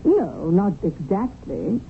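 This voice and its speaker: Seductive temptress, tinny radio. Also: female voice, medium pitch, very animated, slow, gravelly, heavily acted. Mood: sultry coaxing. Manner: coaxing, insinuating. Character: seductive, greedy, manipulative female temptress. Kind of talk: radio drama acting. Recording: tinny, noisy vintage radio broadcast.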